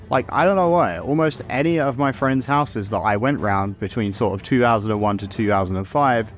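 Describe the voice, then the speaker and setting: A man narrating a scripted video essay in a conversational, opinionated way, with casual asides. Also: medium pitch. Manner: conversational, opinionated, mildly sweary.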